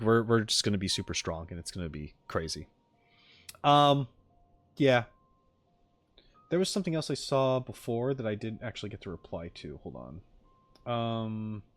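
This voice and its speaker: A man's voice: mid-range voice, dry recording. A man chatting casually on a livestream as a streamer answering viewers, rambling and speculating as he goes. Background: music in the background.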